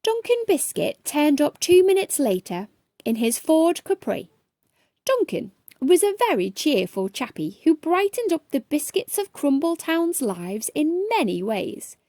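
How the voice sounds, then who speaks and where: A woman's voice: high-pitched, very animated, measured pace, dark tone, dry recording, clean studio sound. A woman narrating a children's bedtime story expressively, as a storyteller reading aloud.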